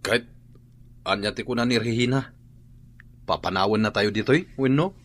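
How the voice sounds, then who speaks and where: An actor with an Ilocano accent playing a grumbling, doubtful husband in a radio drama. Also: male voice, fairly low pitch, moderate pace, close to an everyday voice. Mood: disbelieving. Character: grumbling, doubtful husband living in the rented house.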